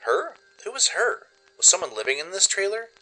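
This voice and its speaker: Man doing a high, excitable young filly. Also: moderate pace, soft background music, full character voice, low-quality recording. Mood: confused curiosity. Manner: eager, questioning. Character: young filly pony, naive, curious, excitable.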